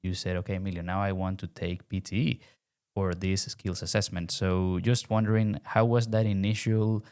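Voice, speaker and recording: A man's voice: deep voice, little pitch movement, normal speed, darkish tone, clear, resonant tone. A man hosting a podcast as an English test teacher, asking his guest direct, friendly questions. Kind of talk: podcast interview.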